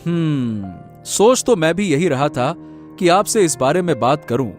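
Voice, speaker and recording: A man voicing a deferential, smooth-talking factory manager in an animated moral story, sounding earnest and respectful. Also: fairly high pitch, a little slow, faint music behind. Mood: agreeable.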